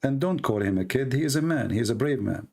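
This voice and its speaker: A man's voice: mid-range voice, animated, fast talker, creaky, a little mellow. A man with an Arabic accent, a native Arabic speaker from the Middle East, hosting a talk show in blunt, mocking casual commentary with broken English grammar. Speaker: debate show host.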